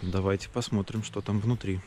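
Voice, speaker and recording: A man's voice: fairly low pitch, steady tone, fairly quick, warm tone, faint music behind. A man in his thirties narrating a travel vlog on location, speaking into a handheld camera. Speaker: travel vlogger.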